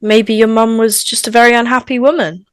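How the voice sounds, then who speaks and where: Blunt teenage best friend played by a woman, chatty, higher-pitched, for a script read. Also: flat delivery, brisk, clear, resonant tone, dry recording, clean studio sound, close to an everyday voice. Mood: frank, gossipy. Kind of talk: table read of a film script.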